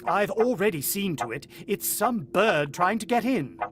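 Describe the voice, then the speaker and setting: A brooding, uneasy family man, played by a male actor in an old-time radio play. His voice sits fairly high for a man. He speaks in a musing, dramatic way with weighted pauses, over faint noise. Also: animated, fairly bright tone. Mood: shaken. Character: brooding, uneasy husband and father narrating a bird attack. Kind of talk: vintage radio drama acting.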